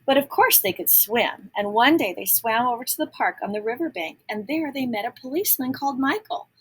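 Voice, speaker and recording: Very husky woman reading a picture book. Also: fairly high pitch, lively intonation, a little fast. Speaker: storybook reader. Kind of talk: children's read-aloud with explanatory asides.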